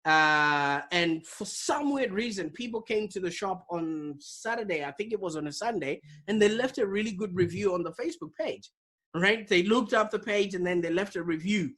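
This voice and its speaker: A man from Zimbabwe, an interview guest telling his story in a lively, animated way over a video call. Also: sits high, moderate pace. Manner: animated, vivid storyteller with repetitions. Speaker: guest, digital marketing business owner.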